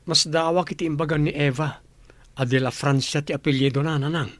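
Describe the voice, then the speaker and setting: A man's voice: on the high side, fairly even intonation, fairly quick, no room sound, natural voice. An actor playing a kind, attentive young working man in an Ilocano radio drama. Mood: thoughtful.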